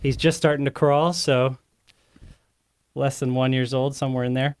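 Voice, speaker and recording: A man's voice: mid-range voice, level tone, moderate pace, smooth. Man hosting a podcast, rambling and friendly. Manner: friendly, rambling, self-correcting. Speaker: host. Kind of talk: casual podcast chat.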